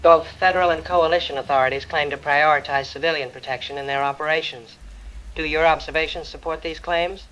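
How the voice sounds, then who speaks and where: A woman's voice: low voice, steady tone, fairly quick, a bit bright. A woman acts as a female independent journalist hosting a wartime podcast in an audio drama. She is serious and formal, with a probing interview manner. The sound is slightly dull, over noisy ambience. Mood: serious, inquiring. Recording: dull lo-fi audio with clear background noise.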